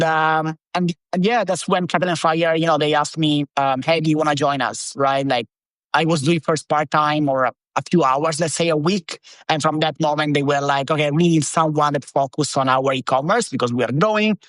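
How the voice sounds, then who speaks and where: A man's voice: fairly high pitch, steady tone, moderate pace, fairly bright tone, dry recording. A man in his thirties from Italy with an Italian accent, chatting as a podcast guest, loose and rambling with lots of fillers. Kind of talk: casual interview chat.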